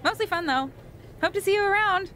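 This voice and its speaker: A woman's voice: very high voice, fairly quick, fairly bright tone, slight background noise. An actress playing a wry, chatty documentary interviewer in a wrestling video game cutscene, probing as she talks.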